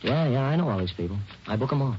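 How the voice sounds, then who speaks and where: An actor playing a folksy, breezy showbiz booking agent in a 1940s radio drama, talking in clipped slang. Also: male voice, mid-range voice, expressive, fast, a little hiss or hum, lightly acted. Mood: breezy, casual. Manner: slangy, informal, clipped phrases. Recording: muffled vintage 1940s radio recording.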